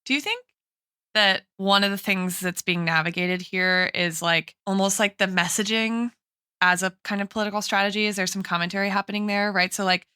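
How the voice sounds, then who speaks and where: A woman's voice: mid-range voice, steady tone, fairly quick, pushed and bright, clean tone, no echo, studio quality. A woman co-hosting a podcast in an analytical, intellectual conversational style, often tagging her points with a checking "right?". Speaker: podcast co-host and academic in political theory.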